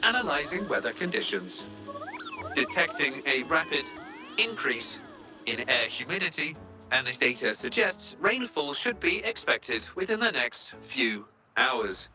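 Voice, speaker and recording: A man's voice: on the high side, big pitch swings, a little slow, over music, low-quality recording. A man voicing a small, polite robot assistant in a video game, speaking in formal, literal, procedural announcements. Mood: analytical, detached. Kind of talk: video game character dialogue.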